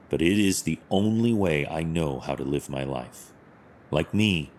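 An actor playing a stern but compassionate masked vigilante hero in an audio drama, speaking in a measured, formal, solemn way. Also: male voice, very deep voice, darkish tone, slight background noise.

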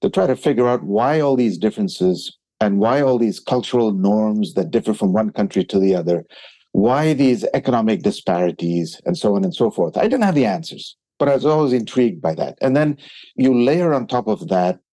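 A man from Thailand and Switzerland speaking reflectively as an interview guest, with articulate, measured and formal phrasing. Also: lowish voice, expressive, mellow. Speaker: podcast guest, sustainability advisor.

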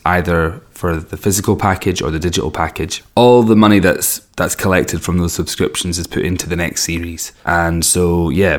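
A man with a slightly raspy, worn throat hosts a podcast in a casual, rambling way with wry, self-mocking asides. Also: deep voice. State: strained throat, losing his voice. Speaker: podcast host and record label owner. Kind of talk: casual solo podcast introduction.

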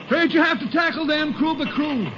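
An actor playing an obliging, chatty cotton gin boss with a folksy, hesitant manner in a 1950s radio western. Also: male voice, very high voice, a little fast. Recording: muffled, noisy old radio broadcast.

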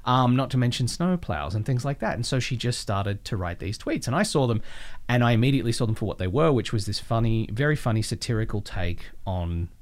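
A man's voice: mid-range voice, very animated, fairly quick. A man chatting casually as an interview guest, telling his story with asides. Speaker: voice actor guest.

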